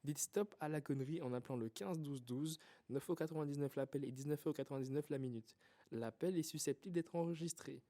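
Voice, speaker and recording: An actor playing a mock-official government hotline announcer in a parody public service message. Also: male voice, on the high side, fairly quick, warm tone, lightly acted.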